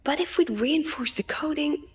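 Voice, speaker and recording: A woman voicing a weary, gentle, brooding scientist in a video game, soft, breathy and pensive. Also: high-pitched, expressive, moderate pace, dull audio. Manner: subdued, pensive. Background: game music.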